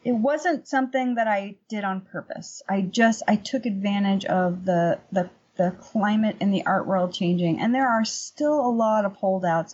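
A woman with a husky voice giving casual, conversational answers as an interview guest. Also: medium pitch, darkish tone, lo-fi sound. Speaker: podcast guest, painter and workshop teacher.

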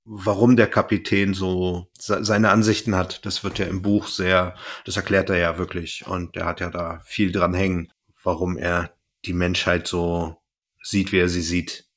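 A man's voice: low-pitched, little pitch movement, measured pace, fairly bright tone. A man co-hosting a podcast, retelling a story in casual chat with colloquial, jokey phrasing. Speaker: podcast co-host.

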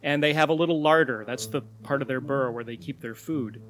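Calm, explaining podcast co-host. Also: male voice, mid-range voice, a little fast. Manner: calm, explanatory, laughs along. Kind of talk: casual chat with explanations.

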